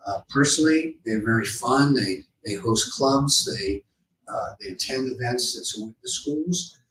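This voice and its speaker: A middle-aged man answering interview questions as a school principal, with halting, hesitant phrasing. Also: mid-range voice, lively intonation, fairly bright tone. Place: small studio room, live recorded show. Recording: roomy, distant mic, faint background noise.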